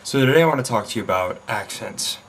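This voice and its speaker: A man's voice: medium pitch, lively intonation, quick pace. Young vlogger, American accent with Leeds creeping in, rambling casually at his desk. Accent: mixed American and Leeds. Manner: rambling, self-interrupting, with fillers. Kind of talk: casual chat to camera. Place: home room at a computer desk.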